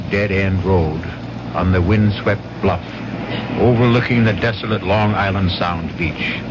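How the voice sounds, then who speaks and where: Slow, low-voiced male mystery host. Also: background noise. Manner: dramatic, measured, ominous. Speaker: radio drama host. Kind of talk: 1970s radio mystery narration. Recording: muffled vintage radio broadcast. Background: music.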